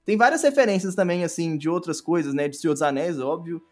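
A Brazilian man with a notably high voice talks casually as an interview guest. He is enthusiastic and circles back over his phrases while explaining. Faint music plays underneath. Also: fast, darkish tone. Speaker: audio drama creator, podcast guest.

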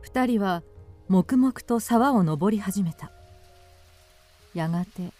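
Mellow woman narrating a period radio drama. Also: medium pitch, expressive, slow, faint music behind.

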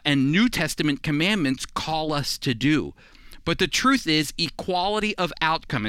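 Male Bible teacher, forceful and earnest delivery, very dry close sound. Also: on the high side, clean tone, dry recording. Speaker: Bible teacher and radio co-host. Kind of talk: scripted radio Bible teaching.

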